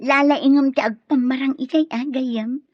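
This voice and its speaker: Husky, cunning woman friend. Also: sits high, a little slow, dry recording, muffled recording. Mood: excited, goading. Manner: goading, excitable. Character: cunning young woman friend egging on a scheme. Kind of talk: radio drama acting.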